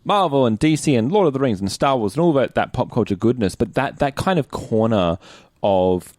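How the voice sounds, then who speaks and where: A man's voice: mid-range voice, a little fast, no echo, studio quality. A young adult man with an Australian accent chatting casually as a podcast host, rambling and correcting himself as he goes. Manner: animated, rambling, self-correcting.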